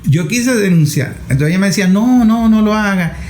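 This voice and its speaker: A man's voice: high-pitched, animated, a little fast, darkish tone. A middle-aged man interviewing conversationally and sharing personal anecdotes. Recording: echoey room with faint noise.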